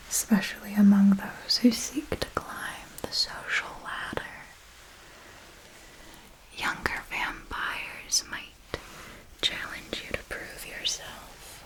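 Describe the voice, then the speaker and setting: A woman playing an elegant, seductive vampire mentor in a whispered ASMR roleplay, speaking in a deliberate, intimate and formal way. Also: mid-range voice, mellow, lightly acted, rough recording. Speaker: ASMR performer.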